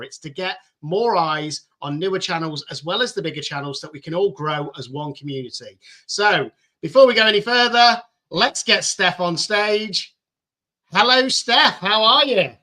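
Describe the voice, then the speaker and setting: A man's voice: high-pitched, sharp tone. A man hosting a casual live-stream chat, upbeat and encouraging, speaking over a video call. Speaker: host.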